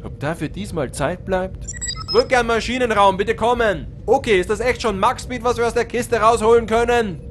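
A man playing an excitable, wisecracking spaceship captain in a comedic sci-fi audio drama. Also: high-pitched, normal speed, faint music behind, natural voice. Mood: pompous, businesslike.

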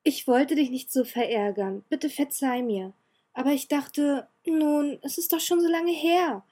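A woman voicing a cheerful, eager young noble girl who talks in a bright, pleading sing-song. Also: high voice, a little slow, pitched above the performer's own voice.

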